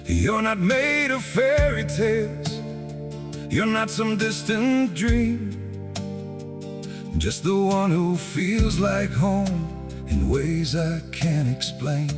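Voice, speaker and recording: A woman singing a sentimental ballad, drawn out and gentle in style. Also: medium pitch, expressive, slow, over music. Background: musical accompaniment.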